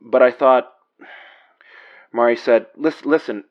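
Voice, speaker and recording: A man playing a concerned, protective friend in an audio drama, soothing and a little halting. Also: medium pitch, muffled recording, close to an everyday voice. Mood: worried, hesitant. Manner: soothing, halting when worried.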